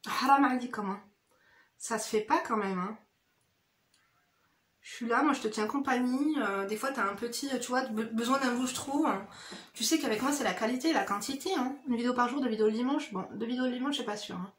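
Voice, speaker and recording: Woman, higher-pitched voice, informal makeup-chat vlogger, direct and slangy with the audience, recorded in a noticeably echoey room. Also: fairly quick. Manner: familiar, slangy, addresses viewers directly with frequent "hein" tags. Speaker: beauty vlogger. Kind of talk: casual chatty get-ready-with-me makeup chat. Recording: roomy, echoey indoor sound.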